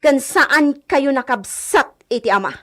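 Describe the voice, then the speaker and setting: An actress playing a haughty, furious heiress in a melodramatic Philippine radio drama, speaking in an imperious, emotional way. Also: female voice, high voice, animated, unhurried, fairly bright tone. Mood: indignant, scornful. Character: haughty, furious heiress guarding her hacienda inheritance.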